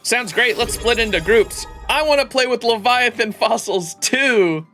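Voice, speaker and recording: A man's voice: extremely high pitch, a little slow, pushed and bright. A man livestreaming a game, chatting casually with viewers in slangy, jokey, profane talk. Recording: game music under the voice. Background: game music.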